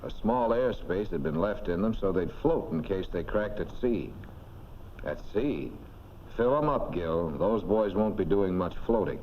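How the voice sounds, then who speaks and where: A man narrating a 1940s military training film in a folksy, clipped, instructive way. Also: lowish voice. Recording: dull, noisy 1940s film soundtrack.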